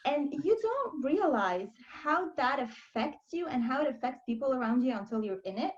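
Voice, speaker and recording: A woman's voice: high voice, big pitch swings. Woman from Lebanon, dull call audio. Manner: candid, storytelling, quick asides. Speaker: life coach and guest. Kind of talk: interview conversation.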